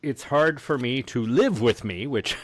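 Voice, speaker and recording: A man's voice: mid-range voice, a little slow. A man hosting a podcast in casual, rambling, self-deprecating comedic chat. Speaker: podcast host. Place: basement home studio near a heater. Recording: clear background noise, basement home studio.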